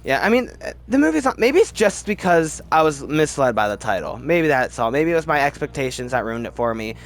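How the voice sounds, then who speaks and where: A man's voice: on the high side, big pitch swings, brisk. A man hosting a casual, opinionated film ranking video, rambling as he goes and often repeating words for emphasis. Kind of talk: casual opinionated movie commentary.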